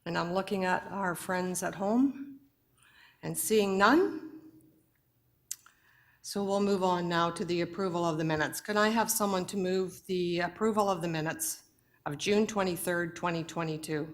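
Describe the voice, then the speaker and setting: A woman with a hoarse voice runs a formal board meeting from a council chamber. She speaks in a measured, procedural way, with the odd filler. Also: lowish voice. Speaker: board chair.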